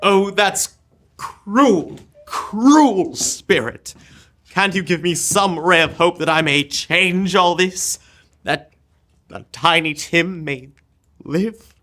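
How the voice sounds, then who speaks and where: Young man as a slow, anguished, pleading old miser. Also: high-pitched, sharp tone, heavily acted. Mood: dread, pleading. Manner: halting, theatrical, pleading. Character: frightened, repentant old miser pleading with a spirit. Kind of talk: old-time radio drama acting.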